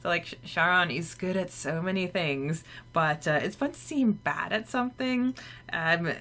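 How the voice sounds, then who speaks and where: A woman co-hosts a casual podcast, talking in a slightly low voice. She chats freely with plenty of fillers and keeps breaking into giggles. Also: moderate pace, a bit bright. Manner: giggly, chatty, lots of fillers. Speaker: podcast co-host. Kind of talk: casual chat about a fanfiction chapter.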